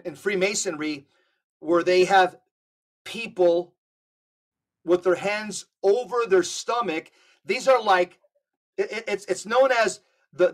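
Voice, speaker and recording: A man talking on a podcast, emphatic and often repeating words as he gathers his thoughts. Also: sits high. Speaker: podcast co-host. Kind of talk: casual podcast conversation.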